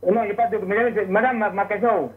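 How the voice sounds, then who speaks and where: A man argues religion over a narrow, dull phone line. He speaks haltingly, repeating words several times before he finishes a thought. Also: high voice, steady tone. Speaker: phone caller. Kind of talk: religious debate.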